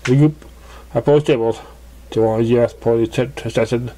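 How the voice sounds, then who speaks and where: A man narrating a fan fiction story as an amateur reader, with halting, stumbling delivery. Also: medium pitch, fairly even intonation, mellow, a little hiss or hum.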